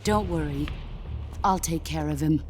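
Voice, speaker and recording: An actress playing a legendary female military boss in a video game drama, speaking with calm, grave authority. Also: sits low, a little slow, slight background noise.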